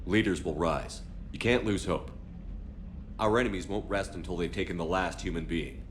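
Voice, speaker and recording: A man's voice: sits low, moderate pace, a little hiss or hum, lightly acted. A man playing a steadfast space military commander in a video game, speaking in a firm, direct, resolute way. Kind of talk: video game dialogue acting.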